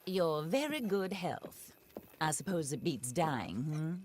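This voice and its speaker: Low-voiced, genteel vengeful widow. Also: female voice, very animated, slight background noise, exaggerated voice. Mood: gracious. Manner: formal, measured, theatrical diction. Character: genteel, vengeful widow in the American Old West. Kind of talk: dramatic video game cutscene acting.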